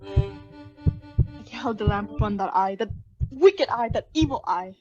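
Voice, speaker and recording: A woman playing an obsessed mad murderer in a theatrical radio play, confessing intensely in hushed whispers and frantic outbursts. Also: medium pitch, lively intonation, unhurried, muffled recording, faint music behind, lightly acted. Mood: frenzied, rising hysteria.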